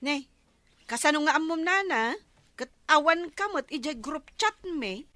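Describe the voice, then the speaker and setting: Casting: woman as eager, whiny rural wife, bright and high, Filipino Ilocano accent, comedy drama. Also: expressive, unhurried, dry recording, heavily acted. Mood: chatty, insistent. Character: naive, plaintive village wife, eager to fit in.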